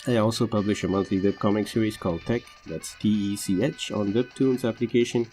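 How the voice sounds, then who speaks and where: A man's voice: fairly low pitch, fairly even intonation, fairly quick, mellow, faint music behind. A man whose first language is Tamil hosts a film podcast, talking unscripted with many fillers and restarts. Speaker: film analysis podcast host. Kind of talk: casual unscripted movie commentary. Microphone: home recording over drawings.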